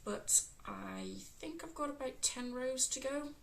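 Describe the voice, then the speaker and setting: A woman with a West Midlands accent, hosting a casual crafting podcast, croaky from a cold and filling pauses with ums. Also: sits high, wildly swooping pitch, a little hiss or hum, poor audio. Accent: West Midlands English. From England. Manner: chatty, many ums, unhurried.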